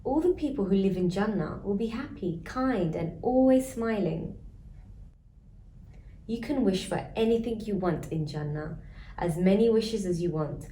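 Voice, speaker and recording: A woman voicing a kindly, reassuring grandmother in a children's storybook, with a gentle storytelling manner. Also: medium pitch, animated, a little slow, natural voice. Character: kindly, reassuring grandmother telling twins about paradise. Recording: roomy echo, faint background noise.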